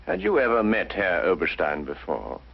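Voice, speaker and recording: An actor playing a brilliant, haughty English gentleman detective, precise and pompous, in the manner of 1950s television drama. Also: low voice, wildly swooping pitch, moderate pace, vocal fry, breathy edge, full character voice. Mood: cool, probing. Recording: dull 1950s broadcast soundtrack, faint music.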